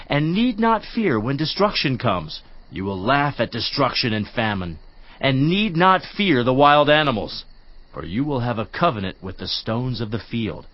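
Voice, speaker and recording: A man's voice: mid-range voice, dull audio. A man voice-acting a solemn friend giving declamatory counsel in a dramatized Bible reading. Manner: declamatory, lively preaching cadence.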